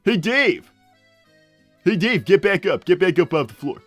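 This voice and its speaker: A man voicing a brash middle-aged hedgehog dad in improvised livestream comedy, rambling and blunt, with halting tangents. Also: high voice, lively intonation, fairly bright tone, no echo, soft background music, heavily acted. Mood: cocky, blunt. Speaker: character host reading viewer messages.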